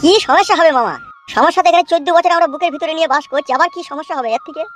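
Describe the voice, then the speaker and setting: A woman's voice: high voice, rapid-fire, lightly acted. A woman voicing a desperate young nephew, plaintive and insistent, in a comedy cartoon. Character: desperate, pleading young nephew, homeless desert wanderer.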